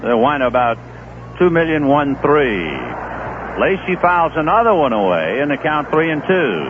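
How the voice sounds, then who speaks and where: A man doing radio play-by-play of a baseball game, easygoing and folksy, with chatty asides between pitches. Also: very animated, moderate pace. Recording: tinny old AM radio broadcast with faint hiss.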